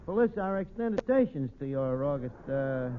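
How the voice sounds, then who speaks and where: An actor playing a brash tavern manager, slangy and stumbling into pompous malapropisms, in old radio comedy. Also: male voice, medium pitch, animated, moderate pace, natural voice. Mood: cocky. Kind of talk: 1940s radio comedy acting. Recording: noisy, phone-like old radio recording.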